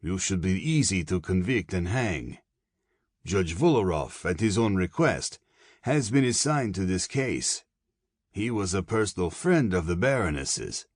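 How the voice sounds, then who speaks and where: A man voicing a plump, soft-spoken middle-aged German colonel with a German accent, courteous and probing. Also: deep voice, sing-song, unhurried, no echo, clean studio sound, full character voice.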